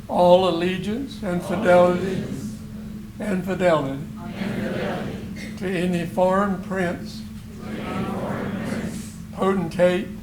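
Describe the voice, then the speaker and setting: Man officiating a citizenship ceremony in a large council chamber; high voice, slow and deliberate, room echo and steady background noise. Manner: slow, phrase by phrase, pausing for repetition. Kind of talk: administering the oath of allegiance.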